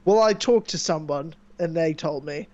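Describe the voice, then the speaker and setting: A young man hosting a casual podcast, chatting and rambling excitedly with a sore throat from screaming. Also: on the high side, moderate pace, crowd noise. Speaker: podcast host. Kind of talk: casual chat. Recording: dull lo-fi mic.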